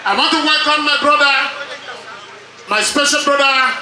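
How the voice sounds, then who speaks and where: A man's voice: extremely high pitch, normal speed, far from the mic. A man working as master of ceremonies, addressing guests in a showy, declamatory way. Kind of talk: loud event hosting. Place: noisy wedding celebration. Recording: echoey event PA with loud background noise.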